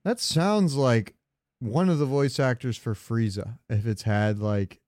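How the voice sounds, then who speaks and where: A man's voice: medium pitch, unhurried, a little mellow, dry recording, clean studio sound. A man co-hosting a podcast in casual comedic chat, rambling through jokey, sweary stories. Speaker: podcast co-host.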